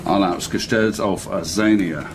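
A man playing a brisk investigator in a radio crime drama. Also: deep voice, background noise. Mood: businesslike.

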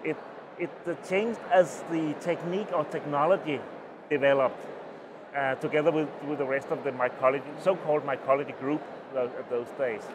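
Danish man with a Danish accent, halting. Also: a little high, background noise. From Denmark. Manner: halting, many restarts and fillers. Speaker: interviewee, mycologist. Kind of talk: oral history interview. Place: small booth at a conference, indoors. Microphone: lapel mic.

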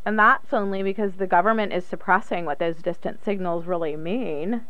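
An actress playing an earnest, caring activist wife in a radio drama, with a questioning manner. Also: female voice, lowish voice, moderate pace, a bit bright, no echo, lightly acted. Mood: insistent, earnest. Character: caring, earnest activist wife looking after her husband.

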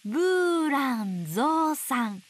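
A gentle motherly owl from a children's program, reads picture books slowly in a high, very lively voice. She is sing-song and playful with sound words, with some light nature noise behind her. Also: full character voice. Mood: playful storytelling. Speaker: picture book storyteller for babies. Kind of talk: picture book read-aloud for toddlers. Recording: faint background noise of nature.

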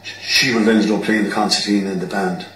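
A man's voice: lowish voice, fairly even intonation, fairly quick, fairly bright tone. Irish man narrating, roomy and distant. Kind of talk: scripted narration of a radio play. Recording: roomy, distant mic, background noise.

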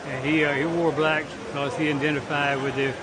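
A man giving a halting, hesitant spoken introduction in an archive live recording. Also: on the high side, flat delivery, rough recording. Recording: archive live recording, loud crowd noise.

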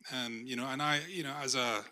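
A man's voice: mid-range voice, fairly even intonation, brisk, sharp tone. A middle-aged man, a university leader, giving a formal vote of thanks in a lecture room, speaking into a handheld microphone with many ums and restarts. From not Australia. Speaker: university vice-chancellor.

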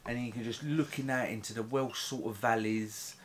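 A man's voice: mid-range voice, fairly even intonation, moderate pace, slight background noise. A middle-aged man chatting casually on a bed, rambling and cheeky, laughing at his own jokes. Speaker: vlog co-host. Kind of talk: casual chat. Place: bedroom, sitting on a bed.

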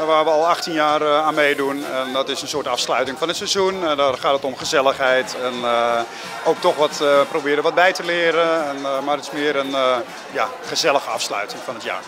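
A man managing a ski school, giving a promo interview in a halting way with many fillers. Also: a little high.

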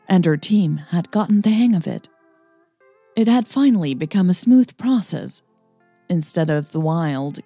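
A woman narrating her own romantic story in a calm, even reading style. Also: fairly low pitch, vocal fry, husky, no room sound. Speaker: podcast host and storyteller.

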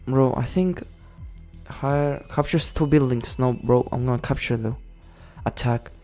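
A man giving casual commentary over a management game, excitable, swearing and yelling orders at his units. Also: medium pitch, a little slow, faint music behind. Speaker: gaming channel host.

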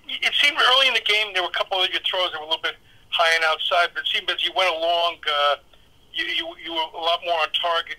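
A man's voice: on the high side, low-quality recording. A male reporter asking a question over a telephone conference line. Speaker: sports reporter. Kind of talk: asking a question on a press call. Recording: phone conference line, sharp and thin.

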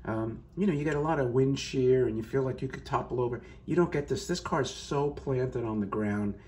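A man's voice: medium pitch, brisk, mellow, a little hiss or hum. A man talking casually while filming his car from a phone, rambling with many fillers and restarts, first in a hotel room and then out in a parking lot. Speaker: car vlogger. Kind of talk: casual off-the-cuff commentary.

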